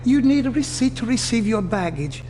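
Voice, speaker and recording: Prissy railway clerk in echoing hall. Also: male voice, very high voice, expressive, moderate pace, darkish tone, a little hiss or hum. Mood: pedantically polite. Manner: prissy, formal, over-polite, bureaucratic. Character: officious, pedantic railway baggage clerk quoting regulations. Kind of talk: comic video game dialogue acting. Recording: long reverberant hall, music behind.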